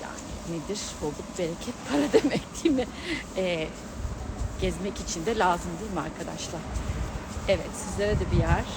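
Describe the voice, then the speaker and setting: A woman's voice: on the low side, moderate pace. A middle-aged woman chatting casually and exclaiming to her followers in a giggly travel vlog style, filming herself outdoors on a handheld gimbal. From Turkey. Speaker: vlogger and astrologer. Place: outdoors at a mountain viewpoint. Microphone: handheld camera on a gimbal. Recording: loud outdoor urban noise with vehicles.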